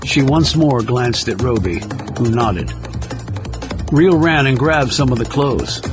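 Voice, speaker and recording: A male narrator reads a thriller audiobook in a mid-range voice. The delivery is scripted storytelling, with clearly audible music underneath. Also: medium pitch, animated, slow pace. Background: music under the reading.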